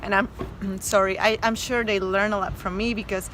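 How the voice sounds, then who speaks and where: A woman's voice: mid-range voice, level tone, normal speed, a bit bright, slight background noise, low-quality recording. Earnest woman with a Mexican accent. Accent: Mexican Spanish. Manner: earnest and emphatic, with many fillers and restarts. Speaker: guest, medical doctor. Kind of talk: casual interview chat.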